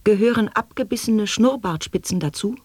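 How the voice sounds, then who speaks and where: An actress in a 1980s radio play, posing formal questions in a measured, earnest way. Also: female voice, mid-range voice, animated, a little mellow, husky, no echo. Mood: questioning. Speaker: voice in a sound-poetry radio play. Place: dry radio studio.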